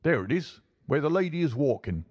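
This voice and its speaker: A man's voice: a little high, very animated, fairly quick, a little mellow, slightly rough, no room sound, highs cut off, pitched above the performer's own voice. A man voicing a plain, helpful rustic English cart driver, folksy and deferential, in a storybook reading.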